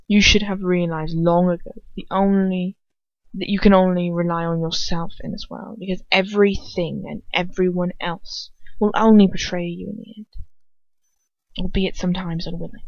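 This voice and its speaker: A woman's voice: fairly low pitch, steady tone, normal speed, no echo, natural voice. Woman playing a cold, drawling aristocratic father. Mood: cold, detached. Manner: clipped, quiet drawl. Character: cold, haughty aristocratic wizard father, stern and dismissive. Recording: dull lo-fi close home recording.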